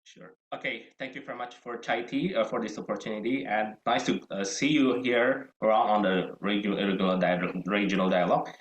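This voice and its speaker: A man's voice: lowish voice, a little fast, hardly any pauses, reverberant, rough recording. A man from Indonesia introducing himself on a panel, often stumbling and restarting words.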